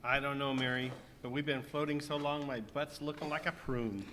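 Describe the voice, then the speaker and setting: A man's voice: medium pitch, steady tone, normal speed, bright, forceful tone, lightly acted. A man playing a grumbling, sarcastic castaway in an amateur radio comedy skit. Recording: faint room noise and ambience.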